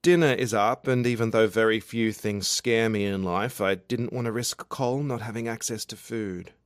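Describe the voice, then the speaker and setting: A man's voice: lowish voice, steady tone, normal speed, clear, resonant tone, no room sound, clean studio sound, close to an everyday voice. A man voicing a tentative, apologetic woman with a wry streak in an audiobook. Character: Emma, apologetic, wryly joking woman friend. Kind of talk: audiobook character dialogue.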